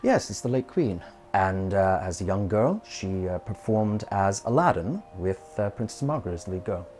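A man in his thirties, a gallery founder, explaining things conversationally and a little haltingly in an interview. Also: on the low side, big pitch swings, a little mellow, slight background noise. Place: dark indoor exhibition room.

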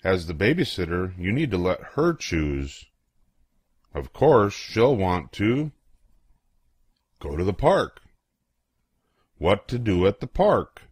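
An older man reading a children's picture book aloud in a playful, expressive way, acting out exclamations and questions. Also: deep voice, slow, long pauses, breathy edge, no room sound, studio quality.

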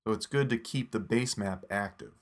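A man narrating a scripted phone app tutorial in a steady, instructive step-by-step voice. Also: lowish voice, normal speed.

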